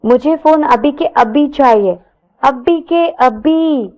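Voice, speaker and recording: A flustered young woman from an audio romance, acted out by a female narrator, pitched very high. She pleads and threatens indignantly, breathless and tripping over her words. The recording is a little dull. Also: slow pace, darkish tone. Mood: demanding, pleading. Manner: stammering, breathless, slipping into English.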